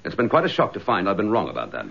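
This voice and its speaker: Low-voiced, indignant British police inspector. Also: male voice, huge pitch swings, racing, gravelly, breathy edge, full character voice. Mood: coolly defiant. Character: embattled, indignant male police chief inspector, suspended from duty. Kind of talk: radio drama acting. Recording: slightly dull radio drama recording.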